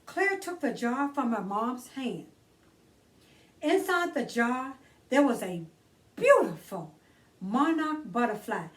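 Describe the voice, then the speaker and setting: A woman reads a children's story aloud as a storytime host, with a high voice that swings widely in pitch. Her delivery is slow and deliberate, leaning on key words with drawn-out emphasis. She sounds slightly distant from the microphone. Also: very animated.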